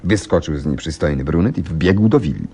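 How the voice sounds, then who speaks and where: Bystrego detektywa w słuchowisku, niski chropawy głos, żywa intonacja, pewny siebie, dociekliwy. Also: male voice, rumbling low, expressive, brisk, mellow, husky, full character voice. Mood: eager storytelling. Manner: precise, inquisitive, theatrical storytelling. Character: shrewd, self-assured gentleman detective. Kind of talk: radio play acting.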